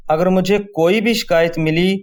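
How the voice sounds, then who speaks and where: An actor playing a firm, protective uncle in a cartoon moral story, speaking with authority. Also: male voice, high-pitched, moderate pace, darkish tone, clean tone, natural voice. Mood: firm, stern.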